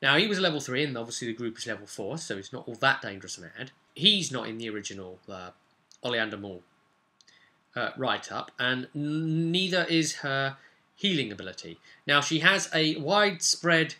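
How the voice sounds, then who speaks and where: A man giving a casual, unscripted recap as a game master, halting and rambling with sudden emphatic bursts. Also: medium pitch, sing-song, pushed and bright. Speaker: game master recapping a tabletop role-playing session.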